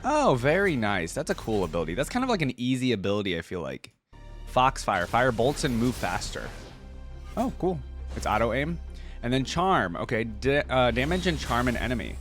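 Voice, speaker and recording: A man's voice: mid-range voice, very animated, moderate pace, a bit bright, faint music behind. A man hosting a reaction video, commenting casually on a game and thinking aloud in an informal way. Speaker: reaction video host, gamer.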